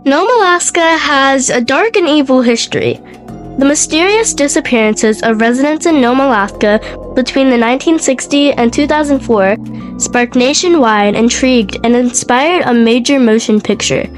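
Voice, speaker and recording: Young female podcast storyteller, high-pitched and congested, part read and part chat, music underneath. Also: moderate pace. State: sick with a cold.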